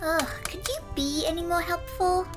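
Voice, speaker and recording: Very high-pitched sassy little filly. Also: female voice, normal speed, music in the background. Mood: exasperated. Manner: exasperated, cheeky, excitable. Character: sassy, sarcastic young unicorn filly. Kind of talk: cartoon-style audio drama acting.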